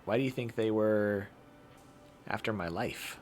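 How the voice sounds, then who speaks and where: A man voicing an anxious young royal heiress from a visual novel game, in a halting, questioning way. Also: on the low side, darkish tone, a little hiss or hum, natural voice. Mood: troubled, questioning.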